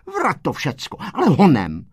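A man playing a bossy schoolgirl, pitched up and lively. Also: husky, no room sound, clean studio sound, full character voice. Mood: commanding. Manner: sassy, colloquial, ordering others about. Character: bossy, exasperated schoolgirl scheming with her classmate.

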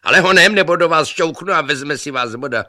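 Muž hraje drzého, netrpělivého a vychloubačného mladíka, který v povídce huláká a vytahuje se. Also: male voice, lowish voice, huge pitch swings, pushed and bright, no echo, exaggerated voice. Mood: impatient, boastful. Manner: hollering, cocky. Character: brash long-haired young man called Luck, boastful, impatient.

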